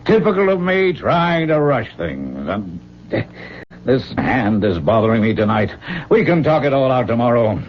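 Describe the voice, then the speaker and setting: A man's voice: mid-range voice, big pitch swings, a little slow. An actor playing a doting but domineering ageing father in a radio drama, fond and insistent in manner. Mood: fond, earnest. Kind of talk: old-time radio drama acting. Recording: dull, faintly noisy old radio recording.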